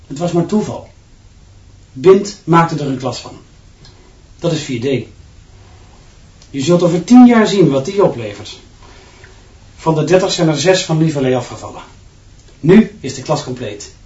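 A man's voice: medium pitch, mellow, natural voice. Cynical schoolmaster colleague, radio play, male actor: emphatic, confiding, insistent, heard at a distance in an echoey room. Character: gossipy male teacher colleague, cynical, insistent, awed by headmaster. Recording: roomy, distant, slightly dull sound.

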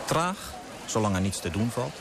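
An actor playing an earnest young clerk and writer who narrates in a literary radio drama. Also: male voice, lowish voice, big pitch swings, normal speed, creaky, a crowd in the background. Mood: measured storytelling.

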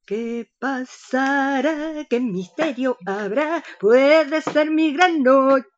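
A woman's voice: sits high, drawn-out words, lo-fi sound. A woman playing a bossy, vivacious older lady in a comedy radio play, with a Rioplatense Argentine accent and an exclamatory, theatrical manner. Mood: musing, eager.

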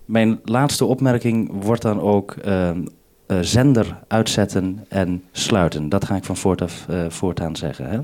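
A man's voice: low-pitched, measured pace, a little mellow. A Dutch man working as a radio programme maker, giving methodical, instructive briefings over a two-way radio link.

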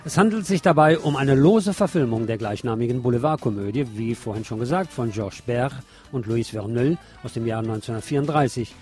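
A man's voice: medium pitch, a little fast, darkish tone. Guy hosting radio show, reads movie review w/ little side comments, music behind him. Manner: chatty asides to the listeners. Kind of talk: film review read from script with casual asides.

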